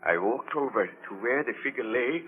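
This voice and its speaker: An actor playing an exhausted, obsessed baron, with solemn, formal diction in 1930s radio drama style. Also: male voice, medium pitch, expressive, normal speed, phone-quality sound, close to an everyday voice. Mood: brooding. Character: exhausted, obsessed baron haunted by his monster. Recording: scratchy, thin 1930s radio broadcast recording.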